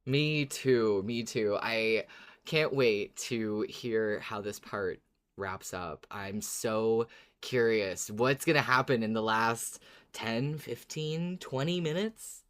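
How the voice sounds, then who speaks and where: A woman's voice: a bass voice, slow pace. A woman co-hosting a podcast, talking casually as a fan about a story. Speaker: podcast co-host. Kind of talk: casual fan discussion of an audio drama.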